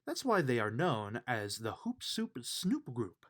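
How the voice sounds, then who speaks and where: A man reads a rhyming children's storybook aloud at an unhurried pace. His medium-pitched voice swings widely up and down, giving the verses an expressive, sing-song lilt. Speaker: reader of a children's book.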